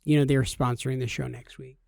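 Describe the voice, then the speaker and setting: A man's voice: medium pitch, fairly quick, creaky, no room sound, clean studio sound. A man co-hosting a gaming podcast, bantering and spinning absurd jokes with a straight face. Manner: goofy, spins absurd deadpan jokes. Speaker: podcast co-host. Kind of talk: joking casual podcast banter.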